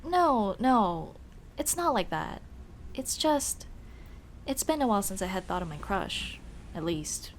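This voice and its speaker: A woman playing a young tomboy childhood friend in an ASMR roleplay, speaking in casual boyish slang with hesitant pauses. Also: medium pitch, very animated, slight background noise. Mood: flustered, hesitant. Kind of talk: ASMR roleplay, intimate one-sided dialogue.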